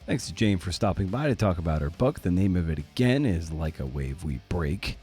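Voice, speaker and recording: A man's voice: deep voice, animated, fairly quick, mellow, faint music behind. A middle-aged man hosting a podcast, rambling casually with wry, self-deprecating, sometimes profane candor. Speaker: podcast host.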